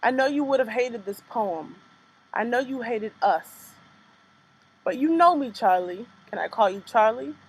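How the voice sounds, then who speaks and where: A young woman performs her own poetry in a rhythmic, measured spoken word style. Her voice sits somewhat high, and between poems she drops into loose, casual remarks. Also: lively intonation, husky, rough recording. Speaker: poet performing her work.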